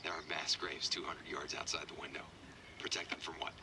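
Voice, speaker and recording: A man's voice: a bass voice, flat delivery, fairly quick, whispered, distant mic. A man playing a worried, argumentative leader of survivors in an audio drama, speaking with urgent insistence. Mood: bitter challenge.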